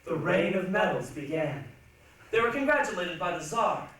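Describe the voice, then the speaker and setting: A woman performing a dramatic stage reading with measured, theatrical storytelling. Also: lowish voice, big pitch swings, fairly bright tone. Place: large echoey room, recorded from a distance. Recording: echoey hall, distant mic, faint noise.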